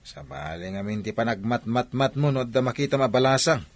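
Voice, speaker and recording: A man's voice: mid-range voice, a little fast, creaky, no room sound. A man acting an exasperated, chiding village cousin in an Ilocano Filipino radio comedy drama, teasing as he scolds. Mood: warning, teasing.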